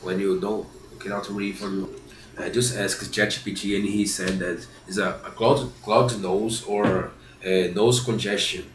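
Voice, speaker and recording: A man's voice: on the low side, fairly even intonation, measured pace, echoey room, slight background noise, rough recording. A man with a congested, stuffy nose from a cold, reading a classic novel aloud and often stopping to reread and correct himself. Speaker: reader of a book series.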